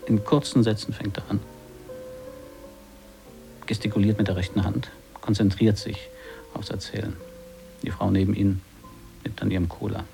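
A earnest radio writer with a very gravelly, lowish, mellow voice. He pitches scenes insistently in short telegraphic phrases, as in an intimate radio play. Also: level tone, soft background music. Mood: absorbed, narrating. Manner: intense, halting, telegraphic phrasing. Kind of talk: radio play acting, clipped storytelling.

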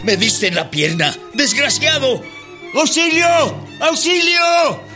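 High, strained wounded landowner. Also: male voice, big pitch swings, slow pace, soft background music, heavily acted. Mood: pained, imperious. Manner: strained, shouting. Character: rich, arrogant landowner, male, wounded and commanding. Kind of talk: radio drama acting.

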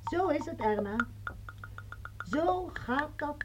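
An opinionated, gossipy housewife talks emphatically into the phone in a radio play. Her voice is very high and singsong, rising and falling sharply. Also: lively intonation, slow pace, over loud music. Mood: defensive, insistent. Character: chatty, opinionated housewife defending her family to friend. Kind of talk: radio play acting, one side of a phone call.

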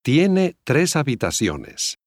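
Slow, expressive man modelling phrases. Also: mid-range voice, no echo, clean studio sound. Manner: deliberate, clearly enunciated. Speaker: language course model speaker. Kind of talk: scripted phrase modelling for learners.

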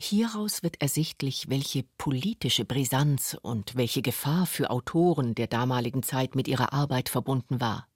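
A man's voice: mid-range voice, expressive, normal speed, few pauses, no room sound, clean studio sound. A man narrating a scripted radio documentary. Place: dry studio.

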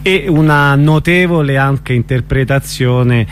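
A man interviewing a guest on radio in a conversational, attentive way, phrasing his thoughts haltingly and offering short acknowledgements. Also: medium pitch. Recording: noisy radio interview with hum.

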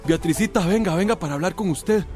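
An actor playing a frightened rural villager in a radio drama, urgent and colloquial. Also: male voice, sits high, quick pace, music in the background, close to an everyday voice. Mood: shaken, alarmed. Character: frightened young rural villager bringing alarming news.

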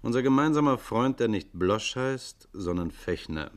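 An actor playing a brisk, probing police inspector in a 1950s radio crime drama, authoritative and dry, with clipped questions. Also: male voice, medium pitch, expressive, lightly acted. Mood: dry, explaining.

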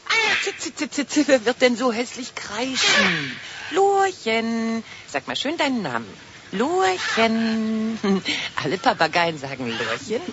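A sedate lady in a 1970s children's radio play. She sounds high and lively, coaxing in an affected, prim way. The recording is dull and noisy. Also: slow pace. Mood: cooing.

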